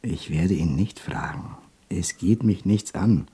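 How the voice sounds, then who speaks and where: A man's voice: sits low, slow pace, mellow, slightly rough, full character voice. An actor playing a grumbling, bitter, homesick man in radio drama dialogue, with a blunt delivery. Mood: indignant.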